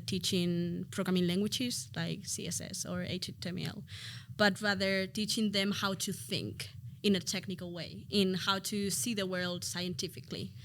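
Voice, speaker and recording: A woman's voice: mid-range voice, fairly bright tone. A woman in her twenties from Spain, whose first language is Spanish, chatting as a podcast guest, thinking aloud with fillers. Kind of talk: podcast interview.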